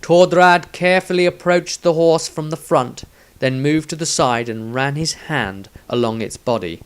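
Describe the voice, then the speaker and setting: A man's voice: fairly high pitch, moderate pace, clean tone. A man reading his own scripted fantasy story aloud as narrator, with a dramatic storytelling delivery.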